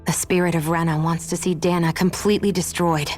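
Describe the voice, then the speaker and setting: Woman as cool, low-voiced game heroine. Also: level tone, fairly quick, a little mellow, faint music behind, close to an everyday voice. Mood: pensive. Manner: direct, skeptical, controlled. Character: cool, serious, probing young heroine. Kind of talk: video game cutscene acting.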